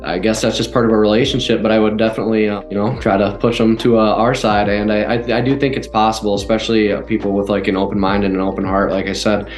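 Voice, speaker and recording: A man's voice: fairly low pitch, flat delivery, fast talker, few pauses, roomy sound, soft background music. A man speaking as an interview guest in a casual, rambling way, full of "like" fillers. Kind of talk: casual conversation.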